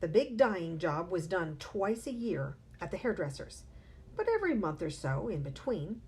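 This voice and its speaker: A woman, a teacher, reading a children's novel aloud with expressive storytelling. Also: mid-range voice.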